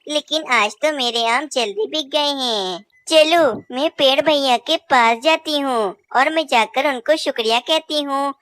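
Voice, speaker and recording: A woman's voice: sits high, unhurried, few pauses, a bit bright, no echo. A woman voicing a cheerful young female bird who sells mangoes, polite and eager. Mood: pleased. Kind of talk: cartoon voice acting.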